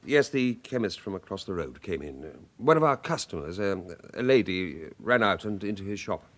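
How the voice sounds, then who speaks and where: An actor playing a shaken, apologetic bank manager in a radio drama, hesitant and polite. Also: male voice, deep voice, big pitch swings, normal speed, a bit bright, full character voice. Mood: shaken, flustered. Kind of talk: British radio drama acting.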